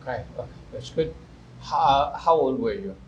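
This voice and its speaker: A man's voice: medium pitch, lively intonation, normal speed, dull audio, a little hiss or hum. A man interviewing his guest, who presses him with repeated, probing questions. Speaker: interviewer, English teacher.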